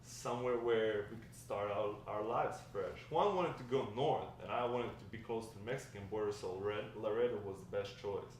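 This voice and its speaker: A man's voice: lowish voice, normal speed, few pauses, close to an everyday voice. A man reading the part of a wry old grandfather telling stories of a drug-dealing past at a script table read, with some stumbles. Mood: matter-of-fact. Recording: distant, very echoey room, faint noise.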